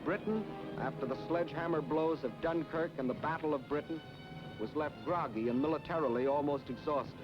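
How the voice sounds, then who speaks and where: A 1940s American man delivers wartime documentary narration in a fairly high voice. His delivery is grave and emphatic, declaiming each phrase. The old film soundtrack is dull and limited, with prominent orchestral music underneath. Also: fairly quick. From United States.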